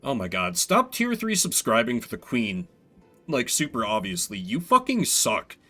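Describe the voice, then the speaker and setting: A man streaming a video game, commenting excitedly and swearing freely. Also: mid-range voice, moderate pace. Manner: profane, animated. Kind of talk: excited gaming livestream commentary. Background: game music.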